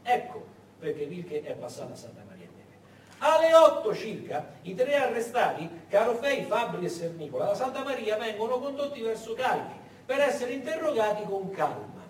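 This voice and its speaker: A male stage actor tells a story as a dramatic monologue, posing rhetorical questions with emphatic repetition. His delivery swings widely in pitch. It echoes from some distance, with faint noise. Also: big pitch swings, moderate pace. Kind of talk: theatrical narrative monologue.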